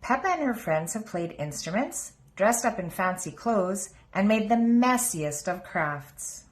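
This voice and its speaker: A woman's voice: mid-range voice, lively intonation, measured pace. A woman reading a picture book aloud to young children as a storybook narrator.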